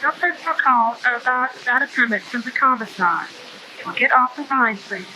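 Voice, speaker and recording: A woman's voice: a little high, normal speed, natural voice. A woman playing an impersonal, officious telephone operator in a 1960s propaganda film. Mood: impersonal, curt. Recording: hissy old film soundtrack.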